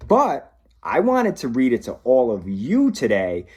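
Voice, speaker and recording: A man, a police officer, talking and reading to children in an expressive way, addressing them directly. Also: medium pitch, a little slow, slightly rough. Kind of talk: children's read-aloud and talk to pupils.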